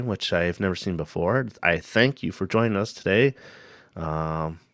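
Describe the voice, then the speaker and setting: A man hosting a paranormal live stream, hoarse from a cold, rambling casually and greeting viewers by name. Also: sits low, a bit bright, no room sound, clean studio sound.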